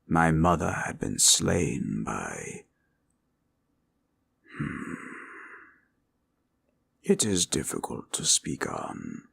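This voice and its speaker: An ancient red dragon, with a low, husky voice that swells and dips. He speaks very slowly in formal, old-fashioned phrases, fatherly and tender, as in a fantasy audio roleplay. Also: big pitch swings, a bit bright, exaggerated voice. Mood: grave, reminiscing. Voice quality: deep, gravelly, husky voice.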